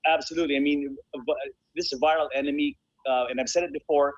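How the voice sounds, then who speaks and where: A man's voice: a little high, steady tone, fast talker, no echo. A man talking enthusiastically as an interview guest, repeating phrases for emphasis. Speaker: guest, bobsled team president. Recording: dull, lo-fi call audio.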